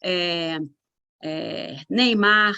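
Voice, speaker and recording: A woman's voice: on the low side, drawn-out words, sharp tone, smooth, dull audio, exaggerated voice. A woman voicing a fed-up Argentine woman professor who complains about the same old remarks.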